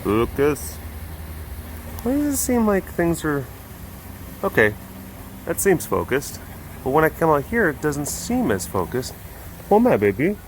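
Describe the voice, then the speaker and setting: A man's voice: fairly high pitch, wildly swooping pitch, unhurried, pauses often, a little hiss or hum, low-quality recording. A man from Wisconsin with a Wisconsin accent, chatting playfully and rambling in a casual vlog outdoors at an animal enclosure. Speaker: animal sanctuary vlogger.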